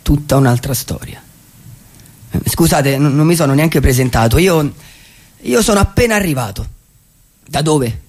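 A dreamy male vagabond speaks in a fairly high voice with gravelly edges. He delivers a poetic radio-theatre monologue, halting and repeating himself as he reflects. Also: expressive, a little mellow. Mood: wistful, then earnest, hesitant.

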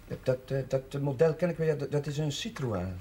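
A man playing a gentle, concerned family friend who speaks haltingly in a naturalistic television drama. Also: medium pitch, expressive, lightly acted. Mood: friendly, hesitant. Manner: hesitant, halting. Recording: noisy old television drama soundtrack.

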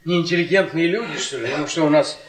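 An actor playing a bitter middle-aged husband, sardonic and volatile, in a radio play. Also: male voice, on the high side, a little fast, a crowd in the background, close to an everyday voice. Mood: manic sarcasm. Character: bitter middle-aged husband, sarcastic, near breaking point. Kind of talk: stage-style radio play acting. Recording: echoey old radio play, crowded background sound.

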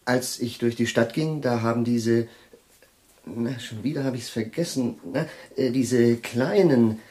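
A forgetful chemistry professor fumbles for ordinary words, stammering and apologising in a polite, rattled way, as an actor plays him in a radio drama. His voice sits at an everyday middle pitch. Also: unhurried, a little mellow, natural voice. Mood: rambling. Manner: hesitant, groping for words, polite and nervous. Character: absent-minded male chemistry professor, flustered. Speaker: professor Jefrosimow. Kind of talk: 1980s radio play acting.

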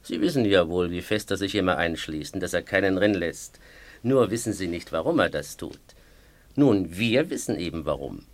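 A low male voice, recorded close and dry, plays a smug crime boss in a radio drama. He explains slyly, with menacing mock courtesy. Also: lively intonation, normal speed, no echo. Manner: mock-polite, sly, explanatory. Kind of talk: German radio play acting.

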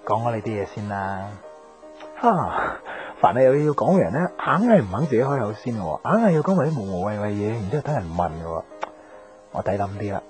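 A blunt young man chats in a fairly low voice with a Hong Kong Cantonese accent. He is a skeptical, teasing friend in a radio drama, full of pointed questions, over faint music. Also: very animated, fast. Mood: casual, teasing. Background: faint background music.

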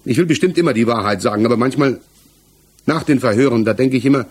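A man acting a troubled, evasive defendant in a 1960s German radio crime drama, halting and searching for words as he defends himself. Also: mid-range voice, breathy edge, lightly acted. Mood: uneasy, earnest. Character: troubled male defendant, married father, haunted and evasive. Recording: 1960s mono radio production.

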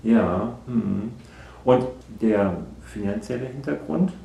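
A man's voice: lowish voice, takes it slowly, creaky, dark tone, reverberant. An actor playing a psychologist in a naturalistic radio drama, hesitantly probing and repeating back what he is told. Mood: patient, probing. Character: evasive male psychologist, soft, probing, echoing every answer. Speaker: psychologist conducting an assessment.

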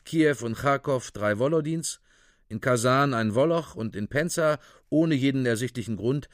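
Actor as male chronicler, official reporting tone, clipped, mid-pitched, dry close sound, radio play. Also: dry recording, natural voice. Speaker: narrator.

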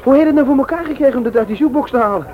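Carefree, slangy youth, high male voice, radio drama. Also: racing, phone-quality sound, faint music behind. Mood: cheerful. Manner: colloquial, boyish. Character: carefree youth sneaking a friend home. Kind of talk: radio play acting.

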